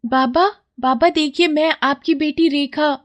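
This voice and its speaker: A woman's voice: sits high, steady tone, measured pace, pitched above the performer's own voice. A woman voicing an earnest, affectionate poor young girl in a children's moral story. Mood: emotional.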